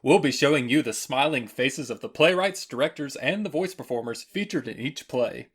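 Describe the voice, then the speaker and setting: A man's voice: mid-range voice, expressive, moderate pace, slightly rough. A man hosting with a scripted announcer's introduction for an audio theater show. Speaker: festival host.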